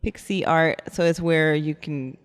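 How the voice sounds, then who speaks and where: A French Canadian woman from Montreal, a photographer guesting on a podcast, speaking softly and hesitantly with many fillers. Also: low-pitched, measured pace, clean tone. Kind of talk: casual interview chat.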